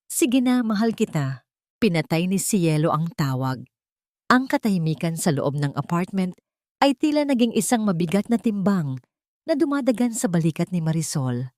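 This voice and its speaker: A woman's voice: fairly low pitch, very animated, normal speed, darkish tone, no room sound, clean studio sound. A woman narrator reading a scripted story in a dramatic style.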